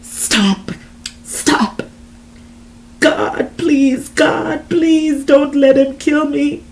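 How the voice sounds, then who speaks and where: A woman's voice: high voice, steady tone, extremely slow, darkish tone, pitched above the performer's own voice, full character voice. A woman voicing a terrified woman who cries out and begs for her life in a dramatic story reading. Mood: desperate pleading. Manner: crying out, pleading.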